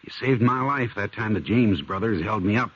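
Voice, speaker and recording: A husky, anxious small-town banker. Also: male voice, lowish voice, animated, normal speed. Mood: apologetic. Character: anxious small-town male banker, middle-aged, apologetic. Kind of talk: 1950s radio western drama acting. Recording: dull lo-fi old radio recording.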